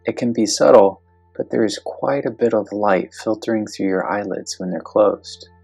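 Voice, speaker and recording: Meditation guide: low register, gravelly fry, slow gentle instruction, faint music underneath.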